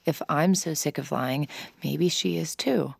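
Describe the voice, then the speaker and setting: An actress playing a troubled, weary woman with a husky, gravelly voice, brooding and confessional in an intimate audio drama. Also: deep voice, unhurried, a little mellow, dry recording, heavily acted. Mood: weary, hesitant. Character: troubled, weary, suspicious woman, a relapsed addict.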